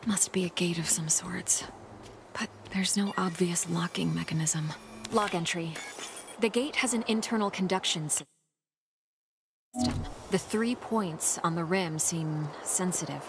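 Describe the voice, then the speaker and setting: An actress playing an analytical woman scientist in a video game, dictating field observations in a clinical, measured, observant way. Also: fairly low pitch. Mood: focused, curious. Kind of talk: video game voice acting, scientific log narration. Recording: clear background noise, game ambience.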